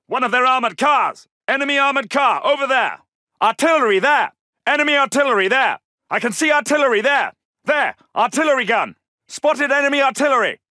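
A man's voice: very high voice, animated, brisk, pushed and bright, no room sound, clean studio sound, exaggerated voice. A man voicing an alert soldier in a video game, giving clipped, urgent military callouts. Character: alert, gruff British male Second World War soldier. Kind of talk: shouted combat callouts.

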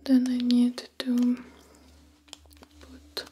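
A woman in her thirties whispering gently and unhurriedly in an ASMR personal-attention roleplay, close to the mic in a small room. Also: a little high, monotone, very slow, slightly rough. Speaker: ASMR roleplay host acting as make-up artist. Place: small decorated room indoors. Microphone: close microphone for ASMR.

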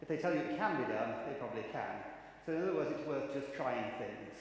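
A man's voice: medium pitch, animated, fast talker. A man in his fifties giving a conference keynote, chatty and wry, telling anecdotes to the audience. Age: 50s. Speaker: keynote speaker. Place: large conference hall. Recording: echoey hall, faint ambient noise.

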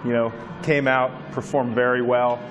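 A man analyzing the game in a postgame studio, measured with a few fillers. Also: medium pitch, flat delivery, muffled recording, music in the background.

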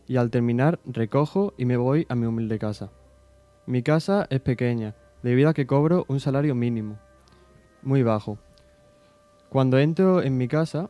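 A man's voice: mid-range voice, natural voice. A teenage boy from Spain playing a humble village priest of the 1790s, reading diary entries aloud in a small school radio studio. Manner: steady, plain reading-aloud delivery. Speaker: student reader on school radio. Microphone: desk microphone, headphones.

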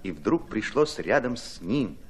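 A man's voice: lowish voice, very animated, a little slow, husky, exaggerated voice. An actor playing an army commissar who narrates his war memories reflectively in a radio drama. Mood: wistful, musing. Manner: reflective, storytelling.

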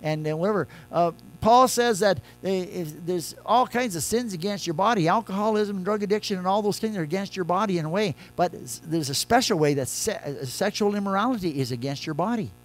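An older man, a pastor, preaching a conversational sermon from a church pulpit, moving between loud emphatic lines and quiet soft ones. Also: high voice, a little fast, hardly any pauses, soft background music.

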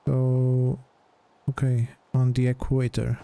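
Man with very mellow, creaky voice. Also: mid-range voice, monotone, takes it slowly, slight background noise. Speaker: gamer, let's play host. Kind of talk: casual gameplay commentary.

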